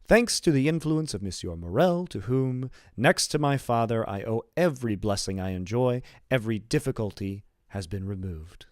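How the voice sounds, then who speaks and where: A man's voice: mid-range voice, lively intonation, moderate pace, hardly any pauses, darkish tone, no room sound, clean studio sound, natural voice. A man reading the part of a happy, earnest young sailor bridegroom in a storybook, eloquent and emphatic. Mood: proud explaining.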